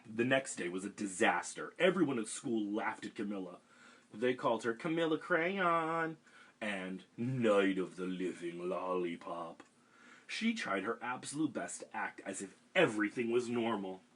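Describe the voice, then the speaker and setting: A man reading a picture book aloud to children over a livestream, with gentle chatty asides. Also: on the low side, animated, a little slow, slightly rough. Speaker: storytime reader for children. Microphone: webcam livestream.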